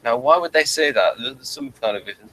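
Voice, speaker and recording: A man's voice: mid-range voice, animated, brisk, fairly bright tone, low-quality recording. A man hosting a casual review chat, rambling and repeating phrases as he thinks, sometimes reading from notes. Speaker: review show host.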